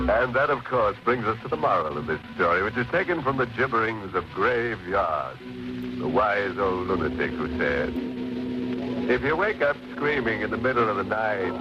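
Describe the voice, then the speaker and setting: Ghoulish old radio horror host, sardonic, lots of pitch swoops, muffled sound. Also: male voice, animated, unhurried. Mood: gleeful, mocking. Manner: sardonic, chuckling, mock-friendly. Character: ghoulish, gleefully macabre horror-show host. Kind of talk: 1940s radio horror hosting. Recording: dull 1940s radio recording with music.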